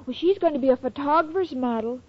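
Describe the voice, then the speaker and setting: A woman's voice: sits high, quick pace, close to an everyday voice. A woman playing a sweet, naive, guileless young woman, eager to help, in 1940s radio sitcom style on a muffled old broadcast. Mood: adoring, earnest. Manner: guileless, earnest, dim-witted delivery.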